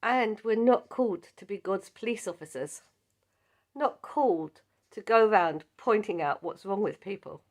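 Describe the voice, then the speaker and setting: Slow-speaking croaky-voiced woman teaching Bible study. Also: medium pitch. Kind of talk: scripted Bible lesson.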